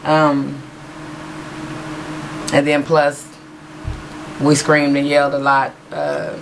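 A woman talks at a webcam in a small room at home, her voice hoarse and low. She rambles through a beauty haul with plenty of fillers. The recording has a clear hiss of background noise. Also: completely flat delivery, slow pace. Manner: chatty, rambling with many fillers. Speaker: beauty vlogger. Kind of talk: casual haul video chat. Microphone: webcam in front of her.

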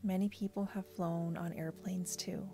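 A woman narrates a guided meditation in a fairly low, very even voice. She is calm and soothing, leaving long pauses between phrases. Prominent meditation music with singing bowls plays behind her.

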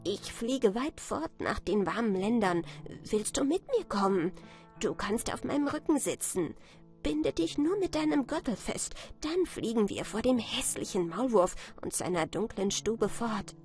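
A woman's voice: on the high side, vocal fry, a little mellow, voice pushed up. A woman voicing a small, kind, eager swallow in a storybook, tender and lilting.

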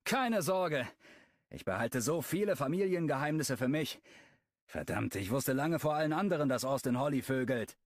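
A man voicing a furious, protective brother in an audiobook, speaking loudly and agitatedly. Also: mid-range voice, expressive, moderate pace, dry recording, clean studio sound, voice pushed up, natural voice. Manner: loud, agitated, raised voice.

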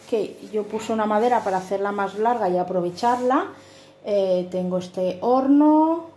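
A woman from Spain giving a casual, homely tour of her small flat, filming on a handheld phone, often apologizing and checking in with "okay?" as she goes. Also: mid-range voice. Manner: homely, apologetic, lots of fillers and "¿vale?". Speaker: vlogger giving a house tour. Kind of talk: casual chatty home tour. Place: small dim flat with tiled kitchen and bathroom, indoors. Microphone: handheld phone camera. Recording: echoey tiled rooms.

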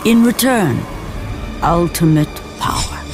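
A woman's voice: fairly low pitch, animated, slow pace. An older woman giving solemn, portentous storytelling narration for video game cutscenes. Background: music score.

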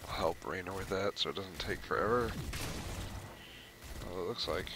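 A man's voice: sits low, normal speed. A man giving casual, offhand live commentary on a video game, half talking to himself as he plays. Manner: offhand, muttering to himself while playing. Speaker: gamer, gameplay host. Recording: game battle noise behind voice. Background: video game combat sounds.

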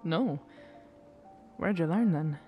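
An actor playing a gruff, guarded yet tender pirate captain in an intimate ASMR roleplay, speaking haltingly. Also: medium pitch, expressive, mellow, faint music behind. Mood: awkward, softly teasing. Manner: slow, hesitant, halting, rough pirate phrasing. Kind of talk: ASMR roleplay audio drama, intimate second-person scene.

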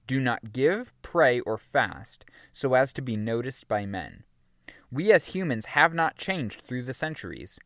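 A man narrating a religious teaching audiobook in an earnest, emphatic, deliberately phrased way. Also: mid-range voice, a bit bright, no room sound, studio quality. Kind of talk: scripted audiobook reading of a religious teaching book.

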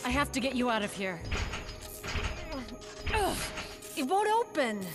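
Lively, high-voiced policewoman heroine. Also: unhurried, over music. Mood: alarmed, relieved. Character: determined young female special police officer, loyal. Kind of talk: cinematic game dialogue.

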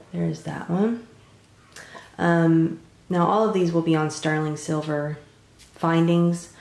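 A woman in her thirties, congested from a cold, casually showing her resin crafts at a home table with a relaxed, rambling manner full of ums. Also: low-pitched, creaky, echoey room. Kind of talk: casual show-and-tell narration.

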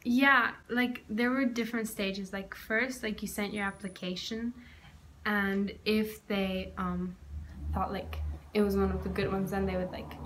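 A woman's voice: mid-range voice, steady tone, a little slow, fairly bright tone, a little hiss or hum. A young woman from Greece, a guest in a casual chat, talking loosely with many fillers, recorded on a laptop in a small room. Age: young adult. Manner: rambling, lots of "like" and "um". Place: small indoor room at home.

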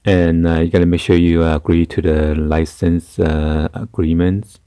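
A man giving a casual hands-on tech tutorial in a halting, hesitant way. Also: low-pitched, little pitch movement, dark tone, clean tone, no echo, studio quality. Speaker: tutorial host. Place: carpeted room indoors.

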